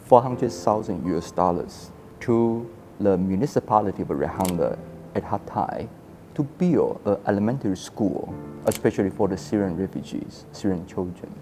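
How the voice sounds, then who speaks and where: A man's voice: deep voice, lively intonation, moderate pace, mellow, soft background music. A man in his forties from Taiwan, an architecture historian telling his story in a casual interview, acting out quoted dialogue and laughing often. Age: 40s.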